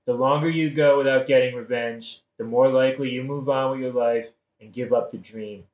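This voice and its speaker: In a roomy, echoing recording, a man acts out a scheming king for a satirical audio play. He is glib and casually murderous, coaxing in plain modern speech at a middling pitch. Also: fairly even intonation, moderate pace, darkish tone, lightly acted.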